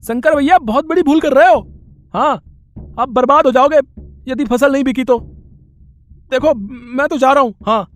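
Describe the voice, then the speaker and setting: A man's voice: very high voice, moderate pace, pauses often, slight background noise. A man playing an anxious, pleading poor farmer and deferential younger brother in a moral story. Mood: warning. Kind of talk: Hindi moral story voice acting.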